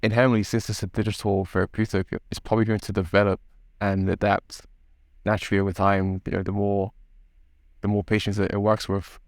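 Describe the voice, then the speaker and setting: A man's voice: fairly low pitch, dry recording, clean studio sound. A man hosting a conversational interview, phrasing his thoughts and questions in a tentative, roundabout way. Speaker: podcast host, interviewer.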